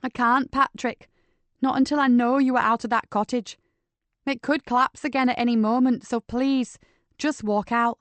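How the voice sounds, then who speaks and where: A woman's voice: fairly high pitch, fairly even intonation, normal speed, no room sound, lightly acted. A woman voicing a female police inspector, firm but coaxing, in an audiobook. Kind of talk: audiobook character voice.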